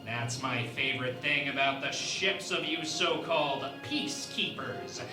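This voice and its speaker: A man playing a haughty, scheming alien ambassador in a parody sci-fi radio play, speaking in a theatrical, deliberate manner. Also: mid-range voice, echoey room, music in the background, close to an everyday voice. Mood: menacing.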